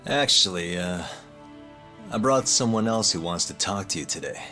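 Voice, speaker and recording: Casting: easygoing young detective for a game drama, low voice, music underneath. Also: male voice, expressive, moderate pace, sharp tone. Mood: reassuring. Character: cool, easygoing young male detective and ex-attorney. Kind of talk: casual video game cutscene dialogue.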